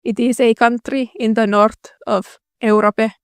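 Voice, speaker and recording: A woman's voice: a little high, little pitch movement, unhurried, clear, resonant tone, no echo. Young Finnish-native woman YouTuber, casual Q&A voice-over, self-mocking, dry close mic. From Finland. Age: young adult. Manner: self-deprecating, dry jokes.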